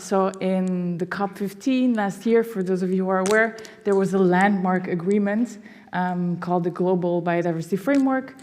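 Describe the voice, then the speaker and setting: A woman from Belgium presents to an audience with eager energy, often saying "you know". Her voice sits at a middle pitch. Long reverb from the hall trails behind her words. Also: a little mellow. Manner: enthusiastic, fillers like "you know" and "no". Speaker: foundation presenter. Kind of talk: public presentation. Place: symposium hall.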